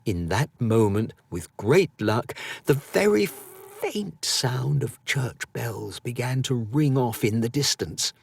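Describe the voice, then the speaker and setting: Unhurried, expressive British male storyteller. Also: mid-range voice, creaky, breathy edge. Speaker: audiobook narrator. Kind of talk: expressive scripted story narration.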